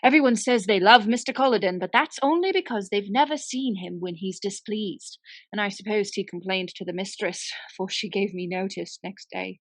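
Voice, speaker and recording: An actress playing a naive, chatty young parlour maid in a radio play, gossipy and a little aggrieved. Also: female voice, medium pitch, moderate pace, no room sound, natural voice. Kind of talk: dramatic radio-play acting.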